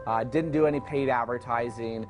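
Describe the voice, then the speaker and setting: A man's voice: medium pitch, steady tone, moderate pace, rough recording. Frank man giving a testimonial. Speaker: testimonial speaker. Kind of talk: personal testimonial. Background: background music.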